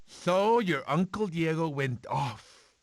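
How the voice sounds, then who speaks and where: Chatty, dramatic prehistoric cartoon creature, highish voice, expressive storyteller, slightly noisy film clip. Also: male voice, slow pace. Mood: dramatic storytelling. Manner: theatrical, expressive storytelling to a child. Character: chatty, dramatic male cartoon prehistoric animal telling stories. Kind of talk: animated film voice clips.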